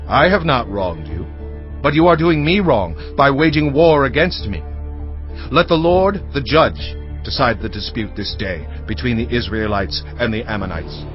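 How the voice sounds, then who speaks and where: An actor playing a proud, aggrieved Israelite war leader in a dramatized audio Bible, declaiming emphatically. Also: male voice, mid-range voice, lively intonation, normal speed, highs cut off, faint music behind, close to an everyday voice. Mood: righteous, challenging.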